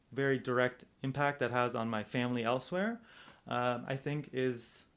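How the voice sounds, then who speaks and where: A man with an American accent giving an informal academic presentation, thinking aloud in a reflective, hedging way and posing rhetorical questions. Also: mid-range voice. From United States, Southern California. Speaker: presenter, domestic violence practitioner.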